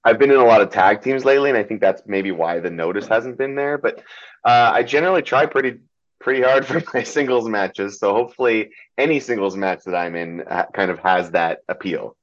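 Low-voiced guy from germany, halting with lots of ums, kinda muffled lo-fi audio. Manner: hesitant, many ums and restarts, laughs often. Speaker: pro wrestler guest. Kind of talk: casual podcast interview. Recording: dull lo-fi sound.

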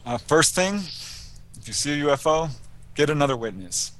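A man's voice: on the high side, sing-song, moderate pace, fairly bright tone. A man giving informal, explanatory answers as an interview guest. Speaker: guest, UFO researcher.

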